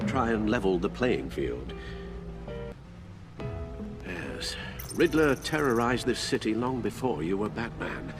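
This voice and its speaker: An actor playing a loyal older butler in a video game, formal and dryly witty, a fretful caretaker. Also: male voice, mid-range voice, big pitch swings, takes it slowly, pauses often. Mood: concerned, wry. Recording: music behind the voice.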